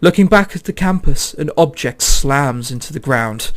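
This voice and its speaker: A man's voice: mid-range voice, expressive, moderate pace. A man who is a let's player reading a visual novel aloud dramatically, with exclamatory, sweary joking commentary.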